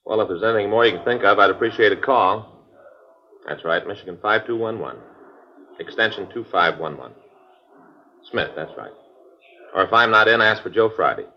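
A workmanlike American detective in an old radio police drama. His voice is very low and his talk is laconic and understated, on a muffled vintage recording. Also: lively intonation, normal speed, long pauses, a little hiss or hum. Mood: matter-of-fact. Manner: laconic, understated, matter-of-fact. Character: plain-spoken, workmanlike male police detective partner.

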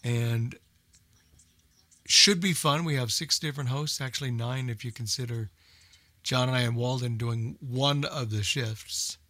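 A man's voice: mid-range voice, unhurried, bright, forceful tone, no echo. Informal male radio host, dry close sound. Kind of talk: casual unscripted programme announcements.

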